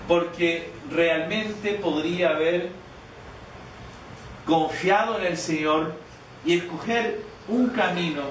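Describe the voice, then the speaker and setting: A man preaching a sermon, telling a personal story in a conversational way with many restarts and repeated words. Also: a little high. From Canada. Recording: echoey, distant, noisy room.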